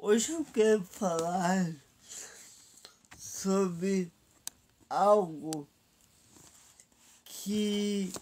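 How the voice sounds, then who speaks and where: Very slow, nasal preacher with a cold. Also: male voice, sits high, a little mellow. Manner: slow, deliberate, pauses between phrases. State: has a cold, blocked nose. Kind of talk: Bible teaching sermon.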